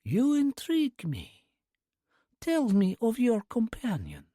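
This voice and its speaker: A narrator voicing a soft-spoken elderly Russian widow with a Russian accent, half-whispering, calm and shrewd. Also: mid-range voice, no room sound, studio quality, pitched above the performer's own voice, full character voice. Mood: amused. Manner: quiet, slow and measured. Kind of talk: audiobook character voice.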